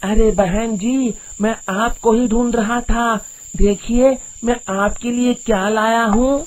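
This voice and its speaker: A man voicing an earnest young villager in an animated horror story, deliberate, with noisy night insect ambience. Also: very high-pitched. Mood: confident. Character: earnest young male villager, bold and pleading.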